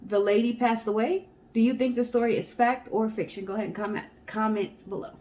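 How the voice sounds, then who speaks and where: Female storyteller and co-host, slightly high voice, casual read-from-notes delivery. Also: steady tone, brisk, darkish tone.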